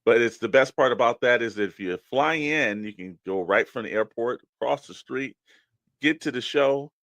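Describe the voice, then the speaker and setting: A man hosts a casual podcast in a dry, close-sounding recording with a medium pitch. He talks straight to the listeners, pitching things in a loose, conversational style. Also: moderate pace, fairly bright tone, no echo. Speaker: podcast co-host. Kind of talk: casual promotional podcast chat.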